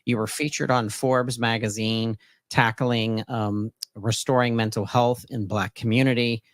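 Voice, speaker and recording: Male podcast host, mid-range pitch, upbeat promotional interview style, very little room sound. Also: fairly even intonation, a little slow, no room sound, clean studio sound.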